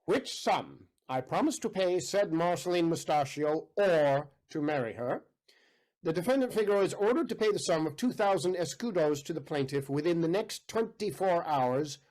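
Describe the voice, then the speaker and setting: An actor playing a formal small-court judge delivering rulings in an audio play. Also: male voice, a little high, animated, normal speed, a little mellow, lightly acted, low-quality recording. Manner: formal legalistic pronouncing. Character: pompous male judge of a country court.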